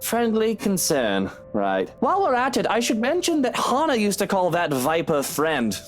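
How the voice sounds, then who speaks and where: A man's voice: high voice, huge pitch swings, moderate pace, soft background music. A man voicing a sardonic, brooding cheating husband in a visual novel game, with a sarcastic, dismissive manner. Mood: bitter. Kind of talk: dramatic visual novel voice acting.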